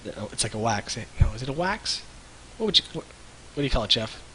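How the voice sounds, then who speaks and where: A man's voice: medium pitch, sing-song, quick pace, a loud crowd, rough recording. A man co-hosting a live stream in casual, jokey chat, rambling and searching for words. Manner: rambling, loose, jokey, hesitant.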